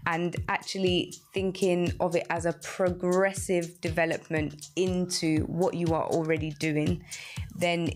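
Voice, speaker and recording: A woman's voice: on the low side, flat delivery, unhurried, faint music behind. A woman hosting a radio show, giving conversational practical advice.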